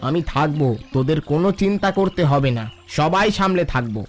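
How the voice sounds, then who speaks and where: A man playing a high-voiced protective village father. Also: expressive, brisk, close to an everyday voice. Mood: calm caution. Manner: instructive, reassuring. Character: steady, protective village father, practical and watchful. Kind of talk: Bengali cartoon dialogue. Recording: music behind the voice.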